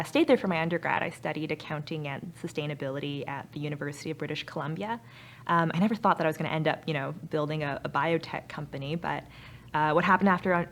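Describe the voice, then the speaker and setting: A woman's voice: sits low, a little hiss or hum. A young woman with a Canadian accent, answering interview questions as a startup founder in an earnest, explanatory way. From Canada, grew up in Vancouver. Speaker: startup founder and chief executive. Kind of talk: interview answers about own company.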